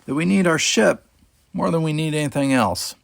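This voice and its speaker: A man playing a cocky teenage alien boy in an audiobook, a breezy, defiant fast-talker bluffing his way along. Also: on the high side, lively intonation, creaky, dry recording, voice pushed up. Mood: eager bluffing. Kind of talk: audiobook character voice.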